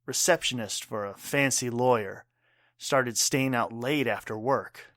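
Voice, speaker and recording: A man's voice: medium pitch, a little slow, fairly bright tone, no echo, clean studio sound, lightly acted. An actor playing a proud, wounded war veteran father and bartender in an audio drama, speaking earnestly and tersely. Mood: pained, proud.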